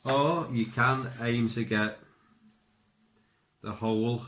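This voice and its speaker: A man's voice: medium pitch, steady tone, takes it slowly, fairly bright tone. A man who is a native English speaker, giving a casual hands-on bushcraft tutorial and thinking aloud informally. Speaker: bushcraft instructor.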